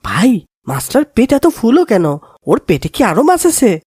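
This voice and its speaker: A woman playing an excitable, naive young boy in a cartoon. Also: on the high side, normal speed, husky, dry recording, clean studio sound, heavily acted. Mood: curious, excited. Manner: animated, naive. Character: excitable, timid young boy, treasure-dreaming friend. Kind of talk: cartoon voice acting.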